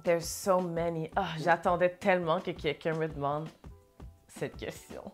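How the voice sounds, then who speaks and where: A Québécois woman with a notably low voice talks casually in an interview and slips English phrases into her French. Faint music plays quietly behind her. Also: fairly even intonation, unhurried. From Montréal, Québec. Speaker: interview guest, singer and dancer.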